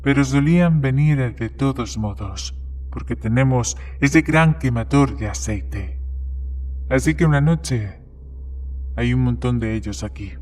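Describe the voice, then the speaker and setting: A man playing a plain-spoken bartender who tells a grim horror tale in a measured way, as part of a dramatized story reading. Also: medium pitch, darkish tone, lightly acted. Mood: matter-of-fact. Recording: music behind the voice.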